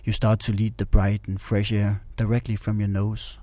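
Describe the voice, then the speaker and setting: Male meditation narrator: low, even-toned, calm and instructional delivery. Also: normal speed, dry recording. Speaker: meditation guide.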